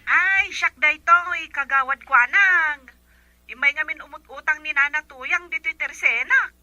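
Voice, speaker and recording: A woman's voice: high voice, unhurried, sharp tone, soft background music, exaggerated voice. A woman playing a complaining storekeeper making a phone call in a comedy drama. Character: shrill storekeeper phoning to tattle about a debtor. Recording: dull band-limited telephone-call sound.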